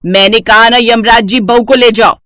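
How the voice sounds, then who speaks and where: A woman's voice: on the high side, steady tone, normal speed, a bit bright, no echo, studio quality, natural voice. A woman voicing a spiteful, grumbling older mother-in-law who nags and accuses in a moral story. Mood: insistent. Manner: nagging, accusing. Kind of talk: animated Hindi moral-story voice acting.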